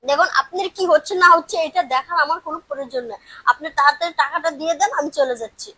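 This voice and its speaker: A woman plays a female parcel courier in an amateur audio drama. Her voice is very high and recorded close and dry. She is hurried and insistent, protesting with indignation and standing her ground. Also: sharp tone, no room sound, poor audio. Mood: angry, indignant.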